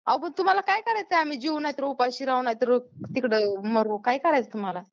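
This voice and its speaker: A woman's voice: high-pitched, fast, vocal fry. A woman with a Maharashtrian accent speaking bluntly and full of questions in a casual phone conversation. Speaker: YouTuber receiving a prank call. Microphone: phone call. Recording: dull, lo-fi phone call recording.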